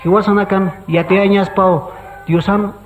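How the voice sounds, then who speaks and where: Brotherly evangelical pastor, high male voice, dull recording, radio drama, faint music behind. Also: fairly even intonation, measured pace. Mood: earnest.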